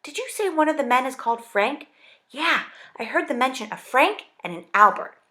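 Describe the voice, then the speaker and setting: A woman voicing a brave, eager young boy in a storybook read-aloud, talking urgently and excitedly. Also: high voice, moderate pace, pitched above the performer's own voice.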